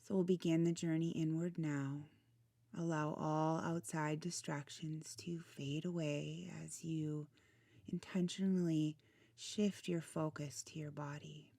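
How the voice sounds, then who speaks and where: A woman leading a guided relaxation meditation in a soothing, gentle manner, with soft, breathy phrase endings. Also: low-pitched, level tone, unhurried, dry recording. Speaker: meditation guide, channel host.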